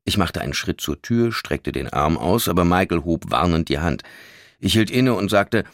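Uneasy crime story narrator, low voice, lively pitch swings, dry close recording. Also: male voice, normal speed, no echo. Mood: narrating, tense. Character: male crime-story narrator, startled and uneasy about his brother. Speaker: audiobook narrator. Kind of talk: scripted first-person novel narration with acted dialogue.

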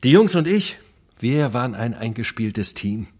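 A man's voice: medium pitch, very animated, unhurried, darkish tone, a little hiss or hum. An actor playing a boastful, wry gang burglar turned writer, telling his exploits in a dramatic radio play monologue. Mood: proud, nostalgic.

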